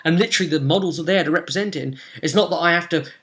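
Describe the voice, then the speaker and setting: A man, casually recounting a tabletop role-playing session in an animated, rambling way and slipping into bits of dialogue. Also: a little high, very fast. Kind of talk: casual rambling storytelling to camera. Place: small home room, indoors.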